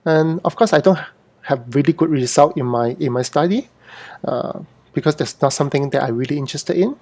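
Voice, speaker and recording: A middle-aged man from Hong Kong with a slightly high voice talks informally to an online audience. He speaks off the cuff as an artist hosting a Q&A, haltingly, doubling back on words and correcting himself. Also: a little mellow. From born in Hong Kong, moved to Singapore as a teen. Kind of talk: informal unscripted livestream talk.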